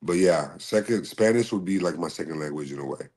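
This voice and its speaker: A man from Massachusetts answering interview questions in a polite, easygoing way, often saying "yes sir" and pausing on fillers. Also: deep voice, lively intonation, a little mellow. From Massachusetts, USA. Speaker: podcast guest, slap fighter and bodyguard.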